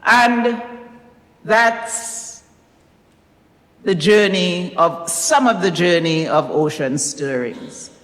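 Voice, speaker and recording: Lady reading out a talk in a big echoey hall, slow n measured, kinda low voice for a woman. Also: a bit bright. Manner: measured, deliberate phrasing with pauses. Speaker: author presenting own novel. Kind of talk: prepared public talk at a literary reading. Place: recital hall, indoors. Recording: echoey recital hall with long reverb.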